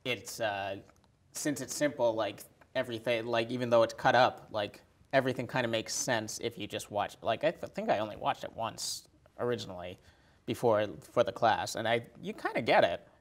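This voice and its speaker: Mid-pitched man chatting casually, haltingly. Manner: hesitant, halting, often repeats "like". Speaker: podcast guest. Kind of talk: casual chat about a film.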